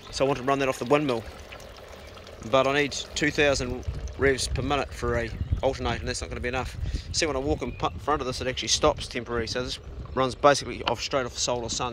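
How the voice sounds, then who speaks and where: A man's voice: mid-range voice, steady tone, fast, a bit bright. A middle-aged man with a New Zealand accent and an ordinary mid-range voice talks in an easy, practical way. He coaxes his dog with "good boy" and "mate" in between plain explanations. He is recorded outdoors on a body-worn action camera, with faint background noise. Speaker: homesteading vlogger. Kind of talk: casual explanatory vlog, talking to his dog. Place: outdoors on a rural homestead and in bush.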